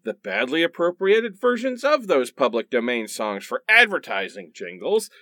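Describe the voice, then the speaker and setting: A man's voice: mid-range voice, huge pitch swings, moderate pace, pushed and bright, dry recording, clean studio sound. An actor playing a defensive, exasperated husband with a whiny manner, bickering with his wife in a radio play. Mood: weary, sarcastic.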